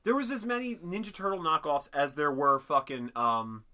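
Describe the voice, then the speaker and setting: A man in casual comedic chat, animated and swearing a lot as he recaps a cartoon plot. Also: on the high side, normal speed.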